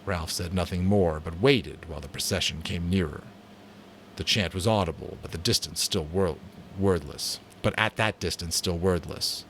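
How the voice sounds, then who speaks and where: A man's voice: deep voice, fairly bright tone, a little hiss or hum. A man giving a dramatic audiobook reading of a novel, sometimes stumbling and rereading a line. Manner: stumbles and rereads lines, stops to redo a take. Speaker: amateur voice actor reading a novel.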